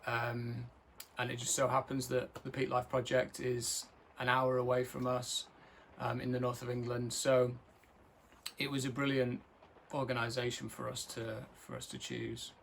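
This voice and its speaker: A male filmmaker speaking earnestly and thoughtfully about his work, pausing often with fillers. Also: mid-range voice, creaky, fairly bright tone, a little hiss or hum. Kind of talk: casual film introduction.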